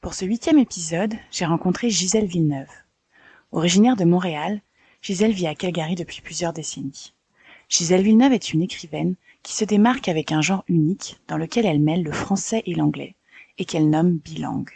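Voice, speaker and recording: A podcast host reading a scripted introduction in a measured, even way. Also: medium pitch, gravelly, slightly rough, no room sound. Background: soft guitar music.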